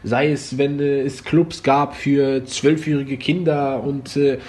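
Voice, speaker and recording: A man's voice: mid-range voice, level tone, slow pace, a little mellow. A man born and raised in Germany, a guest telling stories in a casual interview, laid-back and rambling with lots of fillers. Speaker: podcast guest, former online comedian.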